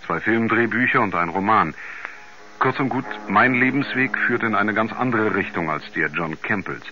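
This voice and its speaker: An actor playing a writer soberly recounting his astronaut friend's story in a 1970s radio drama, in a measured, grave manner. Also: lowish voice, lively intonation, highs cut off, natural voice. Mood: sober, foreboding. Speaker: narrator. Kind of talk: 1970s German radio play narration. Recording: band-limited 1970s radio recording with music.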